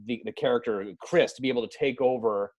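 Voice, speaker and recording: A man talking as a podcast guest, casually pitching ideas in a conversational, self-deprecating way. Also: fast, darkish tone, dull audio.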